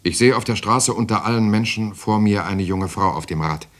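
An actor as a low-voiced, solemn war survivor. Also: male voice, moderate pace, fairly bright tone, a little hiss or hum, close to an everyday voice. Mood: tense recollection. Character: grave war survivor recalling bombed-out Germany. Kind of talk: literary radio drama narration.

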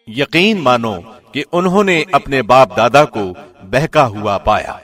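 A man reading a scripture translation as scripted narration, in a measured, formal delivery. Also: mid-range voice, animated, a bit bright, faint music behind. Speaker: translation reader, radio host. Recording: studio voice with long reverb.

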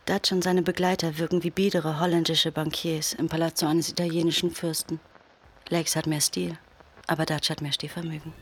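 A performer as a detached, deadpan narrator reading scene directions in a radio play. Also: mid-range voice, brisk, lightly acted. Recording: music behind narration.

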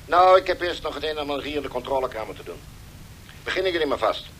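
A matter-of-fact radio director talks casually in a slightly high voice. The delivery is natural studio chat, with faint noise on the recording. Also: male voice, animated, fast talker, fairly bright tone. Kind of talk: naturalistic radio play dialogue. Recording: faintly noisy 1970s radio studio tape.

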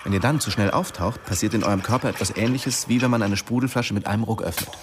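Low-voiced, firm diving instructor played by an actor. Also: male voice, fast talker, very noisy, lightly acted. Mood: instructing. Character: diving instructor, man turning forty, strict and matter-of-fact. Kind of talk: naturalistic radio drama dialogue.

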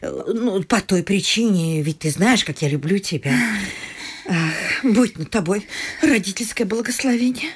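A woman's voice: mid-range voice, animated, measured pace, slightly rough. An actress playing a doting, fussy middle-aged gentry mother in a radio comedy, instructing in a sentimental, bustling way. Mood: tender, instructing. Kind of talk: theatrical radio comedy acting.

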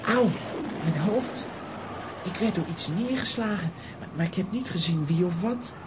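A woman's voice: on the low side, expressive, unhurried, heavy background noise. A woman playing a plucky, determined young boy hero in a children's radio play, with a dramatic, exclamatory delivery. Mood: urgent.

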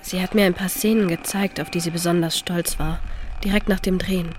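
A woman's voice: fairly low pitch, steady tone, brisk, soft background music, lightly acted. An actress playing a frank, slightly wary teenage schoolgirl, speaking matter-of-factly in a radio drama. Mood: reflective. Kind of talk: German radio drama dialogue.

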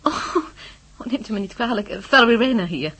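A woman's voice: on the high side, expressive, fairly quick, fairly bright tone, slightly rough. A woman playing a polite, slightly flustered, anxious wife in a radio play. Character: polite, anxious wife awaiting her husband. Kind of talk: Dutch radio play acting. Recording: noisy old radio phone scene.

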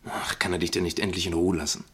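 An actor playing a concerned, tender male companion who asks questions softly and intimately in a German radio play. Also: low voice, flat delivery, fast, husky. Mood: troubled, protective.

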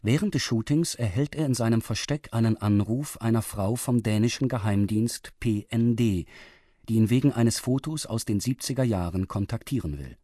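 Mellow, steady male narrator. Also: mid-range voice, moderate pace, breathy edge, no room sound, clean studio sound. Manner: steady, even reading. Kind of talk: scripted narration recapping a radio drama.

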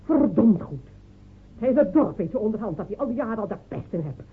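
An actress in a radio play playing a fierce, scornful village woman with a taunting, sharp-tongued delivery. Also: medium pitch, big pitch swings, fast talker, husky. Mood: indignant, menacing. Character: fierce, scornful village woman defending her dead man. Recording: tinny, noisy old radio drama recording.

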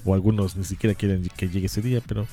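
A man's voice: low-pitched, fairly even intonation, racing, dark tone, faint music behind. A Mexican man co-hosting a podcast, chatting casually in a joking, informal way. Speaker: podcast co-host. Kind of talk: casual chat.

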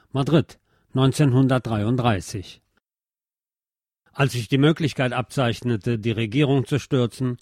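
A man reading a scripted history narration as narrator, in a measured documentary manner. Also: mid-range voice, darkish tone, no echo, studio quality.

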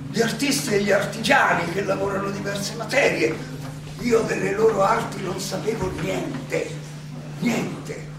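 A very echoey, distant recording of a wry philosopher pleading his case on stage. The voice is high and slow, halting for rhetorical effect as it addresses the audience. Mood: wry, emphatic. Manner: halting, rhetorical, with dramatic pauses and repetitions. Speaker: stage actor. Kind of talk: theatrical monologue addressed to an audience. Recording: echoey hall, distant mic, clear noise.

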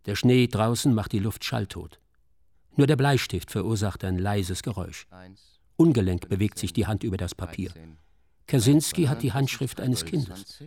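A man's voice: lowish voice, expressive, moderate pace, vocal fry, darkish tone. A man narrating a scripted, literary radio drama.

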